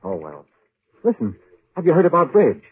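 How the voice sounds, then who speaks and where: A man acting in a 1940s radio drama as a knowing, concerned newspaper colleague, with an animated, urgent manner. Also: fairly high pitch, normal speed, slightly rough, no room sound, full character voice. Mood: excited, needling. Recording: tinny 1940s radio recording.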